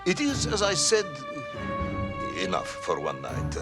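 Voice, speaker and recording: Sardonic Soviet general, slightly high voice, hugely animated, gruff theatrical interrogator. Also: male voice, measured pace, music in the background. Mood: weary, stern. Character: stern, skeptical, gruffly indulgent Soviet general.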